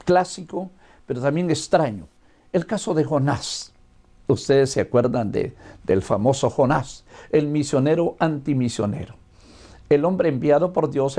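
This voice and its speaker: An older man with a Guatemalan accent giving a religious lecture in a deliberate, expository manner, as a missionary teacher would. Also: a little high, gravelly, mellow.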